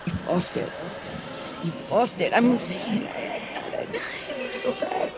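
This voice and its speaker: An actress playing a weepy, unhinged middle-aged virtual-reality addict in an audio drama, tearful and rambling. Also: female voice, mid-range voice, wildly swooping pitch, slow, over loud music. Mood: distraught, rambling.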